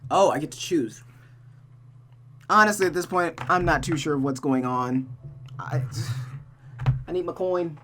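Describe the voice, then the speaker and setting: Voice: medium pitch, measured pace, pauses often, sharp tone, slight background noise. A host reading a visual novel aloud, mixing dramatic narration with playful, casual asides.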